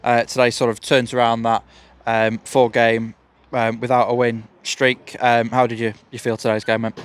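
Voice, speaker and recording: A man's voice: medium pitch, level tone, moderate pace, clear, resonant tone. A man interviewing a football manager outdoors for the club, asking hesitant questions full of fillers. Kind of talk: post-match interview questions. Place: outdoors beside a football pitch.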